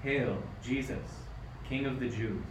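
Casting: man as learned elderly king, middle pitch, solemn radio drama, distant in a noisy room. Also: fairly even intonation, slow pace, roomy sound, lightly acted. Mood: reverent. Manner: solemn, declamatory. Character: wise, learned, devout old king. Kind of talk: amateur radio play acting.

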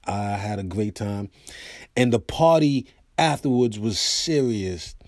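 An American man hosting his own podcast, telling comic stories in a loose, animated way. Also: fairly low pitch, slow pace, no room sound, studio quality. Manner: animated storyteller, acts out quoted voices. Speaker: podcast host and comedian. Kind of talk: casual storytelling monologue.

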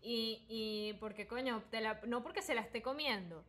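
A young Venezuelan woman chatting casually as a podcast host, telling anecdotes in an animated, slangy, joking way. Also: fairly high pitch, normal speed.

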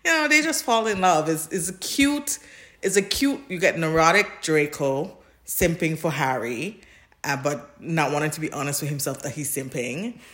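A woman's voice: sits low, moderate pace, fairly bright tone. A woman co-hosting a podcast, chatting casually and informally about fan fiction in a giggly, rambling way. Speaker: podcast co-host.

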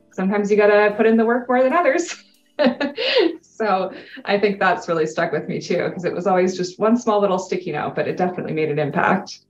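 A woman's voice: on the low side, roomy sound, highs cut off. A Canadian woman in her thirties, a guest in an interview, talking casually and laughing often. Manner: upbeat, laughs often, chatty.

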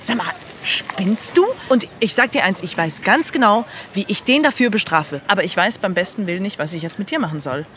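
A woman's voice: mid-range voice, animated, a little fast, fairly bright tone, slight background noise, lightly acted. A woman voicing a stern, angrily reproachful rich father in colloquial storytelling. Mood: angry reproach.

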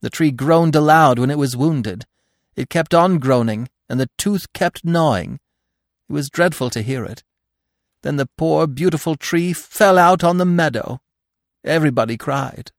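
A man voicing an excitable, gossipy little male squirrel with a shrill, eager voice. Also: unhurried, dry recording, clean studio sound. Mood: plaintive. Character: excitable chattering male squirrel, small and nosy.

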